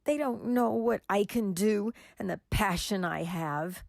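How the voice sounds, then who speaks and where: A woman playing a lonely single woman who feels mocked by her family, in a radio drama, with a gravelly, slow voice. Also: medium pitch, no echo, studio quality. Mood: hurt. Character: lonely single middle-aged woman, hurt, self-doubting.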